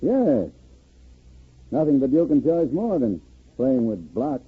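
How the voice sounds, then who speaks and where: A man's voice: mid-range voice, big pitch swings, slow pace, husky, dry recording, full character voice. A man playing a smug, menacing gangster in a 1950s radio drama, mock-polite, sardonic and commanding. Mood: falsely friendly. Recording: muffled vintage 1950s radio recording.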